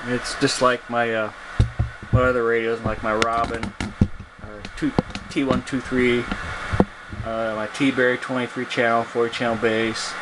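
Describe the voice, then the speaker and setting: A man casually showing off a piece of his collection on camera, speaking in a halting, plainspoken way. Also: mid-range voice. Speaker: hobbyist video presenter, radio collector. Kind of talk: casual product show-and-tell, unscripted. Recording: loud steady hum and hiss.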